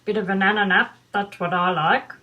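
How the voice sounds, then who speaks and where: A woman's voice: mid-range voice, steady tone, normal speed, lo-fi sound. An older woman reading a children's bedtime story aloud with doting, grandmotherly asides. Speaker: storybook reader.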